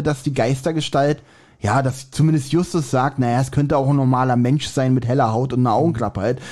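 A man's voice: a little high, a little mellow. A man chatting casually as a podcast co-host, giving blunt, colloquial opinions. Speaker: podcast co-host reviewing audio dramas.

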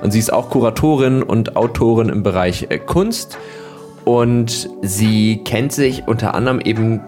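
A man hosts a podcast in a casual, enthusiastic way, often pausing on fillers. His voice sits somewhat low. Faint music plays underneath. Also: darkish tone. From northern Germany. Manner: chatty, enthusiastic, many fillers. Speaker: podcast host.

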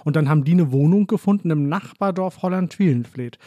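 A man's voice: on the high side, a little fast, dark tone, dry recording, highs cut off. A man from northern Germany, a guest chatting casually and thoughtfully in an interview, playing along with jokes.

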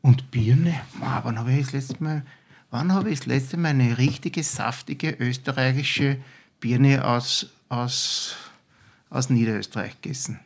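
A man's voice: mid-range voice, a little slow, gravelly. An older man with an Austrian accent, a guest chef talking enthusiastically in a podcast chat, with many restarts.

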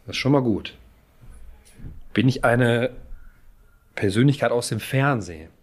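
A man's voice: medium pitch, lively intonation, measured pace. A man playing a guessing game with a friend, thinking aloud with hesitant fillers and laughing. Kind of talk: casual game chat.

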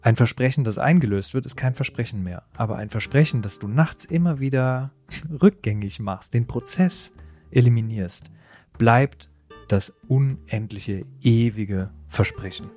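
A man's voice: mid-range voice, measured pace, darkish tone, faint music behind. A man co-hosting a podcast, telling stories in a casual, reflective and explanatory way with colloquial asides. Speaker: podcast co-host. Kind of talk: casual conversational podcast storytelling.

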